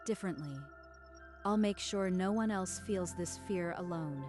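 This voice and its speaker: A woman playing a calm, protective, guilt-burdened female driving instructor in a dubbed manga drama. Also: lowish voice, a little slow, lightly acted. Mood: calm, caring. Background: music.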